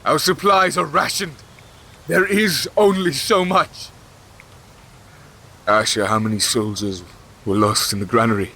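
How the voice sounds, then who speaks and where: An actor playing a grieving, exhausted, merciless king in a fantasy audio drama, speaking formally and with deliberate command. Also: mid-range voice, steady tone, sharp tone, slightly rough, heavily acted. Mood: cold, commanding.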